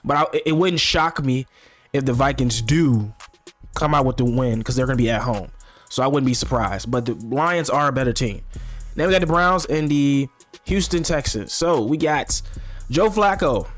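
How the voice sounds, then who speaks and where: A man's voice: mid-range voice, normal speed, soft background music. A young man under the weather, chatting casually and slangily about football picks, rambling and repeating himself. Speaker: sports picks vlogger. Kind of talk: casual sports predictions chat.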